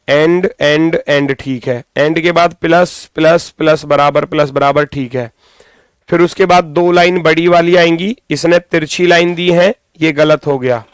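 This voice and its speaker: A man's voice: on the high side, smooth, dry recording, studio quality. A man teaching a live exam coaching class informally, giving directives and repeating phrases for emphasis, his throat strained as he recovers from losing his voice. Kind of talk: live class lesson, thinking aloud.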